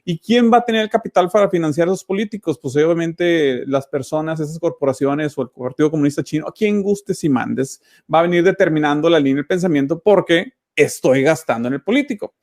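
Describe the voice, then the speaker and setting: A man's voice: fairly high pitch, animated, fairly quick, few pauses, darkish tone. A man hosting a talk show, speaking in a plain-spoken, conversational way and often cutting in. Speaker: host. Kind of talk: interview commentary.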